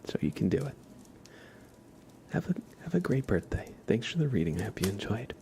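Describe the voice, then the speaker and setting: A man hosting an ASMR livestream, chatting softly and casually in a hushed, unhurried way that often slips into whispering. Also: mid-range voice, very animated, vocal fry, slight background noise. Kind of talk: soft ASMR livestream chat.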